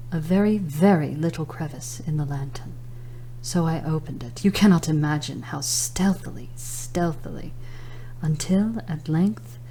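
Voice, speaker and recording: A woman's voice: deep voice, slight background noise, rough recording. A woman voicing a nervous, gleefully cunning mad murderer in a theatrical, emphatic gothic horror reading. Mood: hushed menace. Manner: theatrical, drawn-out, emphatic, dropping into whispers. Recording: loud music under the voice. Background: loud instrumental music.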